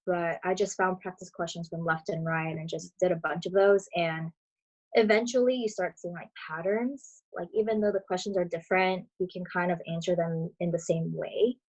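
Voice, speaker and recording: Chatty young woman, lowish voice. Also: normal speed, low-quality recording. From Brazil, raised in Florida. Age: 20s. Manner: chatty, relaxed, many fillers. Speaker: dental student guest. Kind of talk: casual interview answers.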